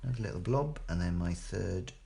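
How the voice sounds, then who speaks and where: A man's voice: low voice, animated, brisk, creaky, mellow, slight background noise. A British man chatting casually and thinking aloud as he hosts a live craft demonstration, often tagging questions onto his remarks. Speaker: craft demo host.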